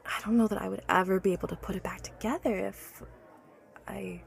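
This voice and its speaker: A woman's voice: medium pitch, fairly even intonation, brisk, gravelly, faint music behind. A woman playing an obsessive, learned inventor who recounts her life's work earnestly and articulately in an audio drama. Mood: awed, intense. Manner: articulate, earnest, stammers when awed by her subject.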